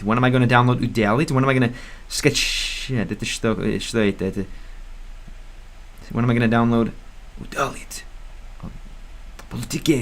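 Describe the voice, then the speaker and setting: A young American man streaming casually, rambling with many fillers and restarts, stumbling through beginner Russian. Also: mid-range voice. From United States. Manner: hesitant beginner Russian with self-corrections. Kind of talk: casual livestream chat.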